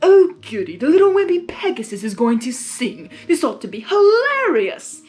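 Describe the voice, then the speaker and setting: A woman's voice: high-pitched, big pitch swings, normal speed, a little mellow, faint music behind. A woman voicing a mocking, gleeful male trickster spirit from a cartoon fan story, in a sneering, theatrical manner.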